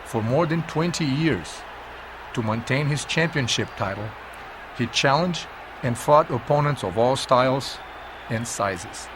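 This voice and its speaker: A man narrates a historical sports documentary from a script in a measured, emphatic storytelling manner. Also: medium pitch, lively intonation, noisy background.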